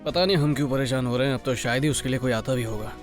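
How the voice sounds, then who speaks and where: A man's voice: medium pitch, rapid-fire, close to an everyday voice. A man voicing a casual, mildly grumbling rescue team member in a cartoon dub. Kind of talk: animated series dub. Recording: clear music behind the voice. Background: music.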